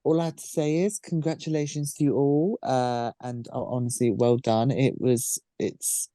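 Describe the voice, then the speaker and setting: Low-pitched woman hosting a casual chat. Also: fairly even intonation, normal speed, dark tone, no room sound, studio quality. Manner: jokey, informal. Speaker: podcast host.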